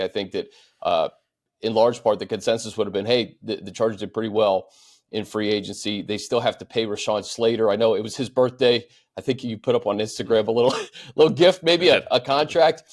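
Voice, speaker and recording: A man hosting a sports show in a conversational style, halting and repeating words as he thinks. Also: fairly low pitch.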